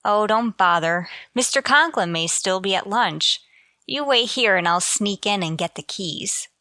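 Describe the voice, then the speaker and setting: A woman playing a wry, quick-witted schoolteacher with deferential sarcasm, in an old-time radio sitcom style. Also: medium pitch, lively intonation, bright, forceful tone, no echo. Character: wry, quick-witted female high school English teacher.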